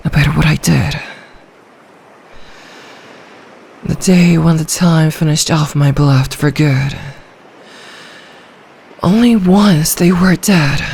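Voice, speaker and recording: A woman's voice: deep voice, a little mellow, slight background noise. A woman voicing an ancient, lonely, possessive sea dragon in human form, in a brooding, formal ASMR fantasy roleplay. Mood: mournful, bitter. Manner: slow, storybook-formal, brooding, lapses into breathy whispers.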